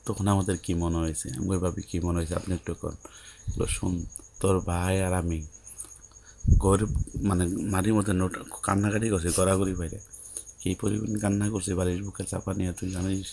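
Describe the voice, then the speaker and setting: Deep, creaky Bangladeshi young husband, acted by a man. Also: flat delivery, normal speed, darkish tone, slight background noise. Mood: patiently explaining. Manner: soothing, explanatory, colloquial. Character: newlywed young husband, patient, coaxing, on his wedding night. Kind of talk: colloquial audio-story phone dialogue.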